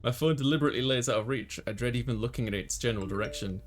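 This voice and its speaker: A man's voice: medium pitch, rapid-fire. A man doing a let's play, reading a visual novel aloud and dropping in casual commentary. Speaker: let's player.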